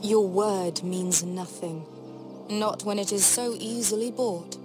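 An actress playing an imperious, suspicious young queen in a dramatic video game, speaking in a measured, regal and threatening way. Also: medium pitch, fairly even intonation, over music, lightly acted. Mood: cold threat.

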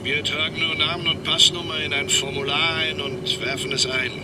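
Actor as polite brisk escort guy, bit far off, loud engine noise, crime radio play. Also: a little high, little pitch movement, natural voice. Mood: practical, concerned. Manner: polite, practical. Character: brisk, solicitous male escort helping freed prisoners. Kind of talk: German crime radio drama acting. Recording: distant, loud vehicle noise behind.

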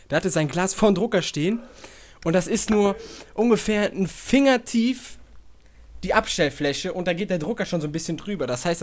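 A man's voice: high voice, a little hiss or hum. A man performing improvised comic talk and mock announcements for a radio parody.

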